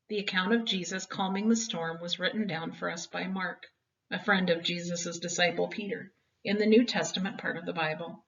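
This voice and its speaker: A woman's voice: on the low side, fairly bright tone. Woman, children's storybook reader, dramatic emphatic phrasing, muffled sound, away from the mic. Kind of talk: expressive children's storybook reading. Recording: dull, distant home-style recording.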